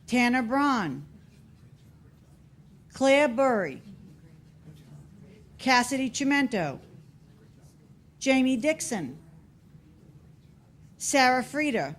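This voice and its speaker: A woman with a Boston accent announcing student awards at a formal meeting, deliberately reading out one name at a time. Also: on the high side. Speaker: school staff member presenting student awards. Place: school board meeting room.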